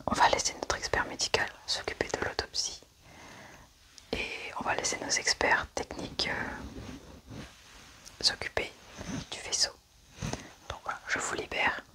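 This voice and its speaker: This is an ASMR roleplay performer speaking entirely in whispers. The delivery is soft and unhurried, acting out a scripted-feeling scene with a hushed, breath-only voice.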